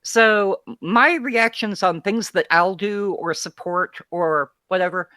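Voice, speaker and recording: A guest from Texas with a light, softened Texan twang speaks in a bright, crisp tone. The delivery is candid and opinionated but easygoing, like a relaxed home chat. The sound is dry and close to the mic. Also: medium pitch, measured pace, no echo. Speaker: guest, feed curator. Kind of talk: casual conversation.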